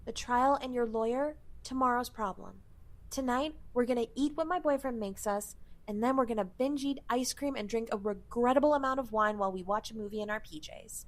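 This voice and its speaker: Casting: actress as loving, protective young best friend for fantasy audio drama, high-pitched, soothing and sassy. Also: female voice, fairly quick, lightly acted. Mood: reassuring. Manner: tender, soothing, sassy endearments.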